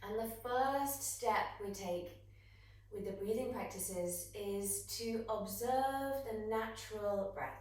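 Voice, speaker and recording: A woman guiding breathwork and meditation as a yoga teacher, gentle and soothing, with long unhurried pauses. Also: mid-range voice. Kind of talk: guided meditation instruction. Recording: echoey room, distant microphone.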